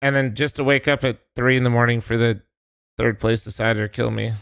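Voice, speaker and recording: A man's voice: mid-range voice, steady tone, brisk, gravelly, a bit bright, clean tone, no room sound. A young man streaming a game, tired and losing his voice, swearing casually in a laid-back way. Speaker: streamer playing with teammates. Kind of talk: casual gaming stream chat.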